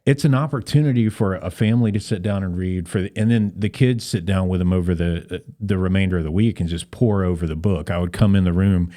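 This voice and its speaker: A man speaking as a guest in a conversational interview, explaining things in an earnest, measured way. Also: low-pitched, dark tone. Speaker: podcast guest, Rotary club volunteer.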